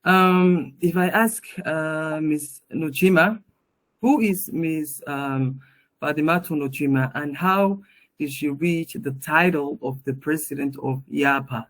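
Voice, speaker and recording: A woman's voice: low voice, slow pace. A woman acting as moderator of a panel, putting questions formally to the guests.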